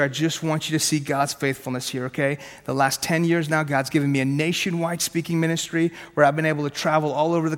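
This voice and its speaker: Man, 30s, Farsi first language: brisk, chatty preacher, voice a touch high for a man, long hall echo. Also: fairly bright tone. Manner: conversational and punchy, asks questions, jokes at himself. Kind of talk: sermon with personal testimony.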